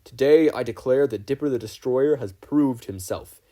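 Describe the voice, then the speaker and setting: A man's voice: mid-range voice, normal speed, mellow, natural voice. A man voicing a huge, ceremonial minotaur chief in a storybook reading, solemn and declamatory. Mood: solemn, proclaiming.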